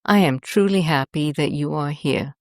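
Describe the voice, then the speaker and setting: A woman host narrating a scripted guided meditation in a soothing, deliberate manner, leaving long pauses between short phrases. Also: sits low, darkish tone, no room sound, clean studio sound.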